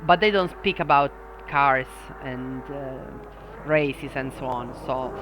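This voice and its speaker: A man with an Italian accent who speaks English as a second language, hosting a sim racing stream and chatting hesitantly with viewers, with many pauses and restarts. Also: medium pitch. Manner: hesitant, lots of ums and restarts, self-deprecating. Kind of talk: casual livestream chat, answering viewer comments. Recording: loud racing-game engine noise behind voice. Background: sim race car engines.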